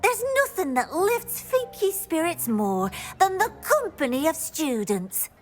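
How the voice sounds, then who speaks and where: A woman's voice: high-pitched, sing-song, unhurried, faint music behind. A woman playing a cheerful, eager-to-please female house-elf kitchen servant in a video game, with a fussy, obliging manner. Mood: chatty, obliging. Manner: speaks of self in third person, fussy and obliging. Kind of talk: video game character acting.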